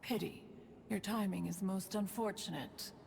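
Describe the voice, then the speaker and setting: A woman's voice: medium pitch, unhurried, vocal fry, very noisy. A woman voicing a haughty ancient female crane spirit in a game cutscene, speaking in a stately, archaic way. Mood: measured. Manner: stately, archaic phrasing, refers to herself as "one". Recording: game audio with long reverb and soft music.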